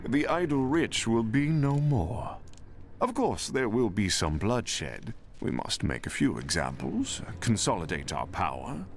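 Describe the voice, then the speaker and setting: A scheming game villain with a claim to a throne. His low voice rises and falls theatrically, self-righteous and calculating, with faint noise behind. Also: sing-song, measured pace. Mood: smug, ambitious. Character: ambitious, ruthless male usurper with royal blood. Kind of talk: video game voice acting.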